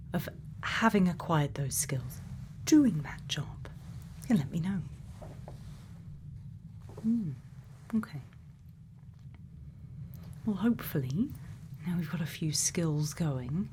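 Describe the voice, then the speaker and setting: Soft slow asmr scientist lady, acted by a woman, gentle n reassuring, whispery low voice, bit of background noise. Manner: slow, soothing, pausing, reassuring. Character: gentle female scientist, quietly kind, programming a robot friend. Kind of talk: soft-spoken ASMR roleplay, often whispered.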